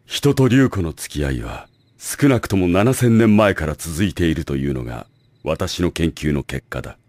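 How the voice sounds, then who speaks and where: Arrogant scheming director for anime drama; low register with swinging intonation, formal and smug. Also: male voice, animated, normal speed, a little mellow, no echo. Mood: grandiose. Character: scheming, arrogant adult male academy director villain. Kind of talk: anime audio drama acting.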